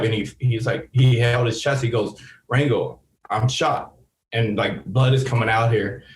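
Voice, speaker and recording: A man in his thirties from Seattle, a podcast guest telling a long story in a rambling, casual way with many restarts and fillers. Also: medium pitch. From Seattle, USA. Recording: roomy echo.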